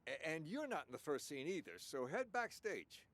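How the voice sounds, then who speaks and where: Casting: practical, harried stage manager type, rough husky voice, extremely animated, radio drama. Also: male voice, on the high side, normal speed, heavily acted. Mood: brisk, practical. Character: flustered, practical backstage theater man in charge. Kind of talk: interactive radio drama acting.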